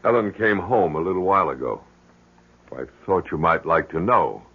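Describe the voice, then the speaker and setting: An actor playing a worried, stubborn middle-aged father in an old radio drama, halting, earnest and insistent with a husky voice. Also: deep voice, lively intonation, heavily acted. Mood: grave, polite. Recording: very muffled vintage radio recording.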